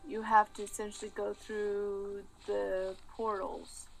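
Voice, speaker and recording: A woman's voice: mid-range voice, little pitch movement, takes it slowly, vocal fry, soft background music, poor audio. A woman chatting casually as a co-commentator while watching video game play. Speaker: stream co-commentator. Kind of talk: casual gameplay chat.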